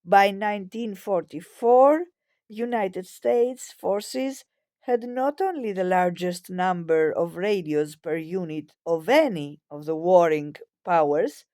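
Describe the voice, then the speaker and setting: Slow, mellow woman narrator. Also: fairly low pitch, dry recording, studio quality. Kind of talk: scripted documentary narration, reading a history text.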